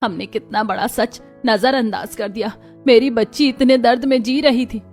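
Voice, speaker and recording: A woman's voice: a little high, fairly even intonation, normal speed, darkish tone, natural voice. A woman voicing a caring, indignant middle-aged mother-in-law in a melodramatic cartoon family drama, earnest and emphatic. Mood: sorrowful, tender. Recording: music under the voice. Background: background music.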